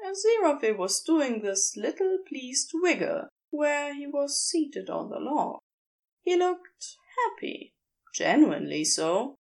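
Female narrator for audio fiction: higher-pitched, expressive, slow and measured. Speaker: narrator and reader. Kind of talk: scripted fan fiction narration.

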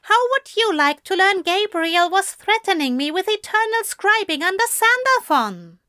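A woman's voice: very high voice, lively intonation, normal speed, sharp tone, no echo, studio quality, pitched above the performer's own voice, heavily acted. A woman voicing a fussy, earnest male angel in an audiobook story, with prim and plaintive phrasing. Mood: frustrated, distressed.

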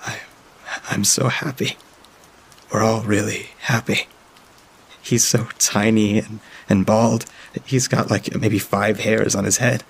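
A man narrating as a frightened young child keeping a diary, speaking haltingly with frequent filler sounds. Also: on the low side, steady tone, creaky, slightly rough, full character voice. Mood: hushed, halting. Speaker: storyteller. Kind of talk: first-person diary reading.

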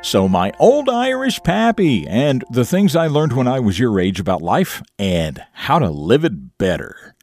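A man presenting a scripted morning show for young listeners, with emphatic, deliberate phrasing and folksy asides. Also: mid-range voice, very animated, darkish tone, soft background music. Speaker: radio show and podcast host.

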